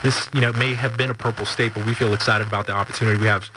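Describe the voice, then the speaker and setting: A low-pitched man from Southern California who rattles off fluent campaign talking points quickly, with a flat, level delivery. Steady noise sits behind his voice. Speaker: campaign staffer. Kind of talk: on-the-street interview.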